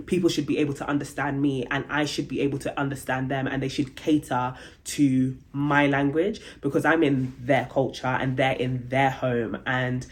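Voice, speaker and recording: A young adult woman vlogging about her travels, telling a story casually and acting out the dialogue with big jumps in pitch. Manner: chatty, many fillers like "like" and "um".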